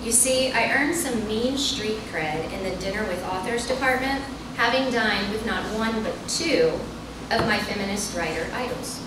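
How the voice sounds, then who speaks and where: A woman's voice: a little high, expressive, normal speed, few pauses, recorded from a distance. A woman author reads a humorous personal essay aloud to a live audience at a bookstore event, with wry, self-deprecating, performative storytelling. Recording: echoey, noisy room, recorded from afar.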